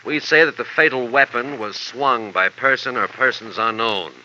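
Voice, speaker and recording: An actor playing a police lieutenant reading out findings in an official tone, in a vintage radio drama on a dull, hissy old recording. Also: male voice, medium pitch, moderate pace, bright, forceful tone. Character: matter-of-fact male police lieutenant. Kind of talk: 1950s radio drama acting.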